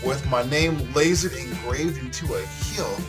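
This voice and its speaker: A man voicing an excitable, boastful teenage schoolboy in a visual novel, talking in a gushing, grandiose way. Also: mid-range voice, moderate pace, close to an everyday voice. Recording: music behind the voice.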